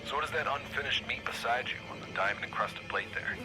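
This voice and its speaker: An actor playing a radio interviewer who is dry, skeptical and sardonic, in a satirical interview. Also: male voice, medium pitch, quick pace, lightly acted, poor audio. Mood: dry disbelief. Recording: music behind the voice.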